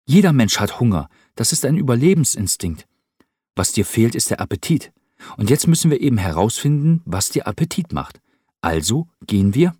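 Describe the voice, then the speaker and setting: A man voicing a friendly, caring young man in an audiobook, with an earnest, warmly insistent manner. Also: mid-range voice, sing-song, moderate pace, husky, no room sound, studio quality, pitched above the performer's own voice.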